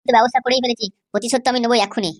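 A man's voice: extremely high pitch, fairly even intonation, rapid-fire. A man voicing a protective young nephew in an amateur comedy cartoon, insistent, boastful and reassuring. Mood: determined. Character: cocky, protective young nephew vowing revenge.

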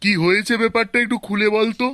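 A man's voice: high voice, fairly even intonation, fast, a bit bright, dry recording. A man with a West Bengali accent voicing a bossy, grumbling village festival organiser in an amateur comic cartoon, brash and blustering. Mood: surprised, insistent.

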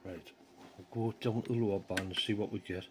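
A man's voice: on the low side, steady tone, a little fast, background noise. A man giving informal, off-the-cuff commentary in a casual hands-on hobby video. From North East England. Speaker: amateur radio hobbyist demonstrating equipment. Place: outdoors in a yard, then a small living room. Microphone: handheld phone camera behind the view.

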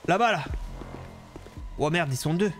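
A man streaming an improvised game roleplay, talking in an excitable, theatrical way. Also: fairly high pitch, unhurried, faint music behind. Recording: noisy game sound behind voice.

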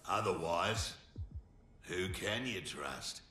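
Deep, gruff Australian crime boss. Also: male voice, animated, slow pace, very noisy. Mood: scheming. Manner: taunting, swaggering, slangy. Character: sly, cocky, brutal middle-aged male crime boss mercenary. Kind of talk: video game cutscene acting. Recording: roomy echo, loud music underneath.

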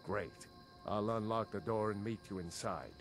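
A male actor voices a worried fantasy villager defending his family's honour. His voice is low and earnest, speaking scripted game lines over prominent music. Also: moderate pace, natural voice. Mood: worried, indignant. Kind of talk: scripted video game character dialogue.

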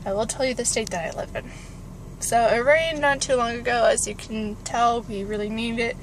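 A woman's voice: fairly high pitch, steady tone, moderate pace, rough recording. A woman, chatting in an informal, rambling way for a car vlog, recorded on a phone inside a car. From California, United States. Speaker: vlogger. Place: inside a parked car with engine warming up. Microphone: phone at arm's length. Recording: car interior with clear background noise.

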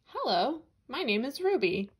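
Woman as an eager little bird, high and lilting. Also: sing-song, unhurried, slightly rough, exaggerated voice. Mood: eager, friendly. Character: small, friendly, eager young female bird in a storybook.

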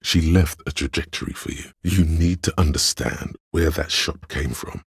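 The cool inner voice of logic in a video game. The voice is exceptionally low and very breathy-husky, recorded close and dry. It reasons in a deliberate, measured way, with a sardonic, analytical edge. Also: male voice, dry recording, exaggerated voice. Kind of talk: scripted game narration.

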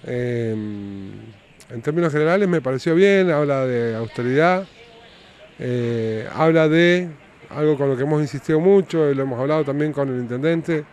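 A man's voice: medium pitch, normal speed, a little mellow. A man in his fifties with an Argentine accent gives an improvised interview answer as a local councillor, outdoors into a handheld microphone. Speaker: city councillor being interviewed. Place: outdoors by a building. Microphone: handheld reporter microphone. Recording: outdoor street noise with vehicles.

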